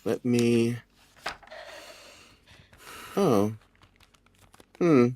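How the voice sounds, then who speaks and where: An actor playing a pompous, formal lawyer reading out a will in a period audio drama, with stately, old-fashioned phrasing. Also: male voice, mid-range voice, animated, drawn-out words, mellow, slight background noise. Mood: awkward, apologetic.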